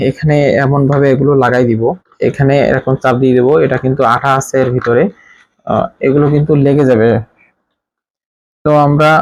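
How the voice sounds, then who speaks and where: A man with a Bangladeshi accent talks through a hands-on setup in a casual way. His pitch sits in the middle for a male voice. He explains step by step and often repeats phrases, in a dry recording with little room sound. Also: no room sound, low-quality recording. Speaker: tech product reviewer. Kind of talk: hands-on installation tutorial, casual spoken demonstration.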